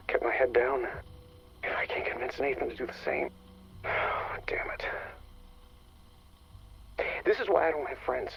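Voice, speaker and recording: An actor playing a weary, bitter, guilt-ridden lab staffer in a game, muttering a sardonic confession in a gravelly, husky voice. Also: male voice, medium pitch. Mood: frustrated, worried. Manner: sardonic, foul-mouthed, muttering. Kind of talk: dramatic game voice acting, confessional audio log. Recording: muffled lo-fi radio-like audio log.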